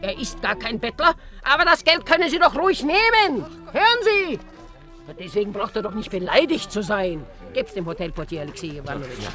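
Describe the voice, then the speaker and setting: A capricious, domineering old aunt in a radio drama. Her pitch leaps about freely as she fires off commands in repeated, excitable bursts. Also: fairly quick, soft background music. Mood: scornful, stern. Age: older. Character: imperious, capricious rich old Russian aunt, gambling-happy.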